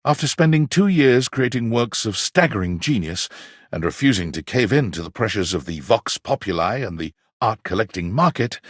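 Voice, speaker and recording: Husky, flamboyant, theatrical storyteller. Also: male voice, mid-range voice, no room sound, clean studio sound. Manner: dramatic, pompous, savoring words with deliberate pauses. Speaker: voice actor, narrator of game story. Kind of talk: theatrical scripted narration.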